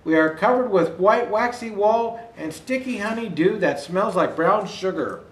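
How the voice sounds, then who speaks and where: Older man, high-pitched voice, storybook read-aloud, Santa storyteller, expressive delivery, echoey room. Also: a little slow. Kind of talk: reading a children's picture book aloud. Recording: roomy indoor echo.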